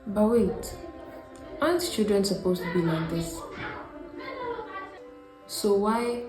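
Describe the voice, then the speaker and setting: A woman with a Ghanaian accent narrating a reflective scripted video essay, speaking deliberately in short, paused phrases. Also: mid-range voice. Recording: roomy echo, music behind. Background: background music.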